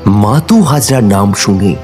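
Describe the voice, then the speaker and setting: A man's voice: lowish voice, lively intonation, a little slow, a little mellow, faint music behind. A man narrating a ghost story in a suspenseful, dramatic storytelling manner. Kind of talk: scripted horror narration.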